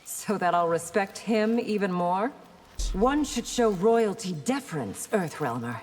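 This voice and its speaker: An actress playing a stern, regal warrior princess, formal and cool, in video game banter. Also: female voice, mid-range voice, animated, measured pace, a little mellow, a little hiss or hum, close to an everyday voice. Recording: long hall reverb.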